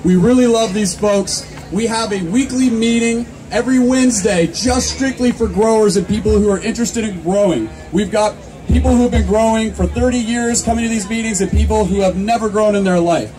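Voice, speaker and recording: A man's voice: high voice, fairly quick, mellow, soft background music. A young man emceeing a contest at an outdoor fair, reading entry notes into a handheld microphone over a PA, then rallying the crowd. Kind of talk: announcing at an outdoor event. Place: outdoor fair under a canopy tent. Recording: distant camera mic, noisy crowd. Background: crowd chatter.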